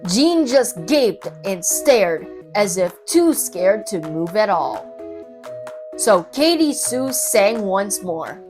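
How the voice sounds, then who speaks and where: A woman reading a children's story aloud as an expressive narrator, with dramatic, rhythmic and playful delivery. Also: mid-range voice, slow pace. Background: children's music.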